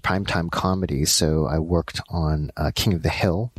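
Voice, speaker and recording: A man from Los Angeles who works as an animation director, answering interview questions in a halting way with many fillers. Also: deep voice, steady tone, slightly rough, no room sound. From Los Angeles, United States. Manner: halting, many ums and uhs.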